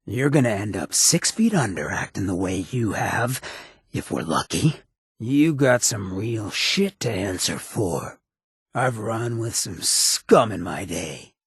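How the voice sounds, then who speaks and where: An actor voicing a sly, swaggering outlaw mayor in a video game, with a gravelly rasp and cocky, streetwise, slangy drawl. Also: male voice, on the low side, sing-song, slow, dry recording, clean studio sound, full character voice. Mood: disgusted, scolding. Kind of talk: video game companion dialogue.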